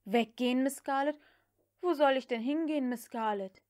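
A woman's voice: high-pitched, normal speed, a little mellow, pitched above the performer's own voice, natural voice. An anxious male house servant, acted by a woman narrator, speaks with deference and injured pride. He answers tactfully and plaintively, as a character in a novel read aloud. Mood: indignant, plaintive. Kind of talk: audiobook character dialogue.

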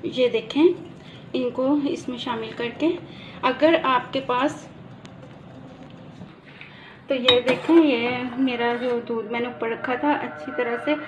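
A woman with a high voice walks viewers through a home recipe, patiently explaining each step as she cooks. Soft kitchen sounds and clinking dishes stay faintly in the background. It is recorded on a phone in a home kitchen. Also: steady tone, slow pace, long pauses, background noise. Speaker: cooking channel host. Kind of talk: step-by-step cooking demonstration.

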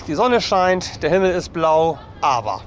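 A man in his forties speaks with a German accent in a fairly high voice. He hosts a casual family vlog, talking to a camera held at arm's length in the open air. Music plays clearly behind him. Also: big pitch swings, a little slow. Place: outdoors in an amusement park by a lake.

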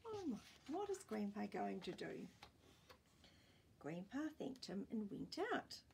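An older woman reading a picture book aloud expressively, pausing to put questions to her listeners. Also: medium pitch, very animated, creaky, darkish tone, slight background noise. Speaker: children's storytime reader. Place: small indoor room with bookshelves.

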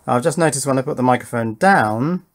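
A man's voice: mid-range voice, expressive, brisk, slight background noise. A middle-aged man informally narrating an electronics test at his workbench, thinking aloud in a self-deprecating way. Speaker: electronics hobbyist presenter.

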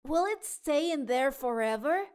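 A woman's voice: high-pitched, lively intonation, unhurried, darkish tone. A woman voicing an eager, curious young boy cat in a children's storybook, with a childlike, exclaiming manner.

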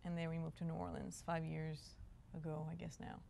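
A woman's voice: sits low, flat delivery, a little fast, gravelly, dark tone, slight background noise. A woman in her thirties from Puerto Rico, a guest chatting in a friendly, easy way in an interview. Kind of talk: casual interview talk. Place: small living-room studio set.

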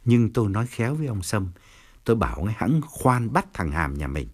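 Casting: male narrator as domineering provincial official and father, middle-aged, berating, with wide pitch swings, for an audio story. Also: sing-song, brisk, slightly rough. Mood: stern, agitated. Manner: berating, authoritative. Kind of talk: audio story character dialogue.

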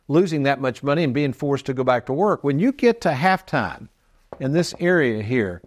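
A man's voice: on the high side, darkish tone. A man in his sixties presenting financial advice as a show host, folksy and emphatic as he explains to viewers. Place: TV studio set, indoors.

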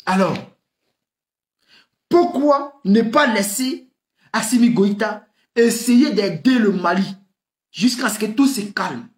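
Animated West African French man commentating. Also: high-pitched, slow pace, long pauses. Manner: animated, rhetorical questions, frequent laughs, gestures with hands. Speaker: political commentator. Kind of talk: impassioned political commentary to camera. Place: small room indoors. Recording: echoey room.

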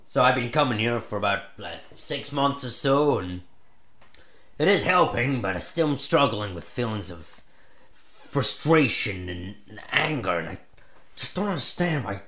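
A man's voice: mid-range voice, a little slow. Short-tempered superhero played by a man, frustrated and indignant, swooping intonation, roomy echo, comic sketch. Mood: awkward, frustrated. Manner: ranting, indignant, hesitant when calm. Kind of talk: comedy sketch storytelling. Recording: echoey room.

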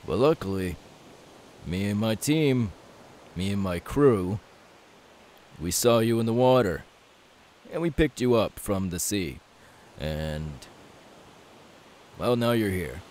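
Casting: young pirate male performer, low-pitched, easygoing and reassuring, for intimate ASMR roleplay. Light hiss on the track. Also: animated, slow, long pauses, darkish tone. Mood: curious, reassuring. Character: easygoing young pirate man, kindly and self-assured. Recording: faint background hiss.

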